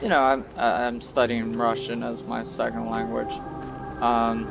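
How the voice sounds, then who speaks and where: A man's voice: medium pitch, little pitch movement, unhurried, vocal fry. A young man casually commenting while he plays a game, rambling and hesitant. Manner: rambling, hesitant, many fillers. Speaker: gaming video host. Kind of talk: casual let's play commentary. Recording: voice over game music.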